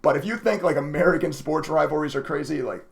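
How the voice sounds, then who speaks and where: Male live-stream trader, slightly higher-pitched with a gravelly rasp, casual and chatty, openly emotive. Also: fast talker. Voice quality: raspy. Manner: chatty, emotive, laughs often. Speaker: livestreaming day trader and content creator.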